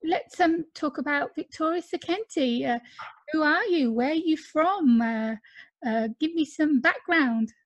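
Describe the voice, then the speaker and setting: Casual interviewer: woman, high-pitched voice, conversational questioning, dry recording. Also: unhurried, dry recording, dull audio.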